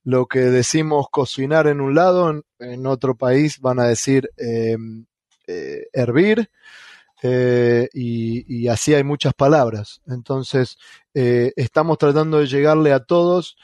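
A man's voice: medium pitch, unhurried, darkish tone, no room sound, studio quality. A man from Argentina with an Argentine Spanish accent, co-hosting a casual podcast chat with many fillers and restarts. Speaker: podcast co-host.

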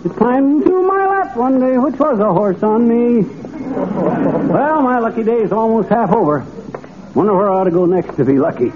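A man's voice: very high-pitched, sing-song, moderate pace, narrow, tinny audio. An American man acting a folksy, cheerfully obtuse small-town wisecracker in an old-time radio comedy, with slangy, teasing talk. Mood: ruefully jaunty. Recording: noisy, phone-thin old radio recording.

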